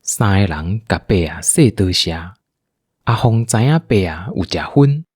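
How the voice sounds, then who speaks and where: Deep-voiced Taiwanese male narrator, lively delivery. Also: measured pace, husky, no room sound, studio quality. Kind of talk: scripted storytelling narration for an audio drama.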